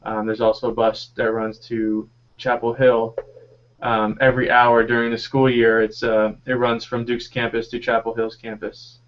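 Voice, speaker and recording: Flat-voiced young man explaining things. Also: medium pitch, normal speed, muffled recording. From North Carolina, US. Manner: steady, explanatory, with many "uh" fillers. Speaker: graduate student panelist. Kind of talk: casual live Q&A panel.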